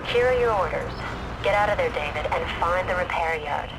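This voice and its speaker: An actress playing a commanding military handler in a video game, giving briefings in a crisp, businesslike manner. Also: female voice, lowish voice, animated, a little fast, noisy background. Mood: brisk, urgent.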